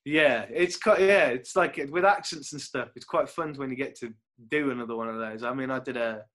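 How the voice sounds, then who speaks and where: A man's voice: mid-range voice, brisk, a bit bright. A young British man, an actor, chatting casually and rambling as he acts out little quotes with laughs. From United Kingdom. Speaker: actor guest. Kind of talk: casual chat.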